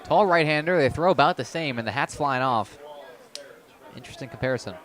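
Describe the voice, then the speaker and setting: A man's voice: medium pitch, a little fast, a bit bright. A man giving conversational, explanatory color commentary on a live baseball radio broadcast. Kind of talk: live radio sports analysis.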